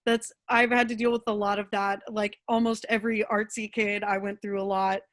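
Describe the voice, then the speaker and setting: A woman's voice: medium pitch, little pitch movement, fairly quick. A young woman playwright talking casually and candidly about her writing. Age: young adult. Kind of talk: casual, candid feedback talk.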